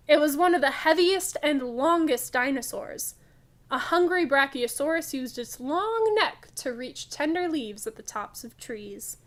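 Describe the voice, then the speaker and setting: A woman reading picture books aloud for young children as a storytime host, in a careful, expressive way. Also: high voice.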